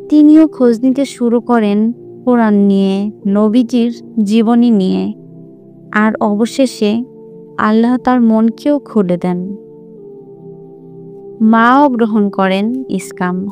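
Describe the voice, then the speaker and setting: Slow, high-voiced woman narrating. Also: mellow. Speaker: narrator of religious stories. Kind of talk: scripted inspirational storytelling narration.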